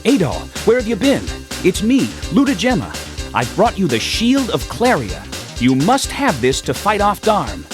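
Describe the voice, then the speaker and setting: An actor playing an earnest, helpful ally in a scripted fantasy video game. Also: male voice, high voice, wildly swooping pitch, unhurried, heavily acted. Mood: earnest, urgent. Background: music.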